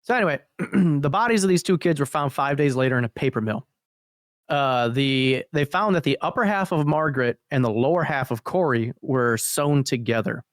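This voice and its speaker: A man's voice: mid-range voice, animated, normal speed, dry recording, clean studio sound. A man co-hosting a podcast, chatting about horror videos in a blunt, jokey, sweary style. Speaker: podcast co-host. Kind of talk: casual joking chat about horror videos.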